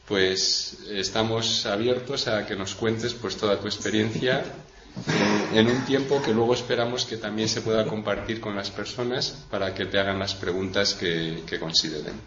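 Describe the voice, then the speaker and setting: A man with a fairly low voice moderates an event, giving a semi-formal, halting introduction as if from notes. The sound is echoey and a little dull. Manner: halting, stumbles over words as if reading notes. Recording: roomy echo, dull sound, background noise.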